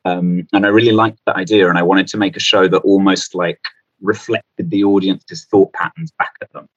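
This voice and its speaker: A man's voice: low-pitched, fairly even intonation, moderate pace, a bit bright, no echo, highs cut off. A man chatting casually as a comedian guest, rambling through anecdotes in a self-deprecating way.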